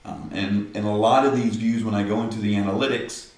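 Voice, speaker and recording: An older man with a gruff voice who talks directly, rambling to camera in a casual vlog and often tagging on "right?". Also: on the low side, steady tone, a little fast, darkish tone, very echoey, far from the mic. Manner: rambling, many "right?" tags. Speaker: vlogger.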